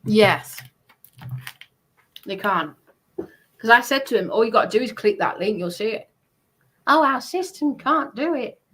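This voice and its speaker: Slow, hesitant woman chatting casually. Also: fairly high pitch, lively intonation, a bit bright, a little hiss or hum. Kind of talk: casual chat.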